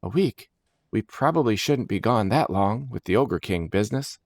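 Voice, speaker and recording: Man playing a proud adventuring party leader, raised pitch, earnest, animated storybook hero, dry close sound. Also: normal speed, dry recording. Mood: resolute. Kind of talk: storybook character dialogue.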